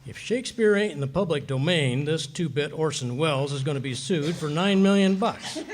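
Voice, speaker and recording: A man's voice: a little high, expressive, moderate pace. A man playing a stubborn, boastful, bumbling middle-aged husband in 1940s radio comedy style, with folksy, slangy grumbling. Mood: wisecracking. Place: live performance of a radio play. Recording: hissy, noisy live recording.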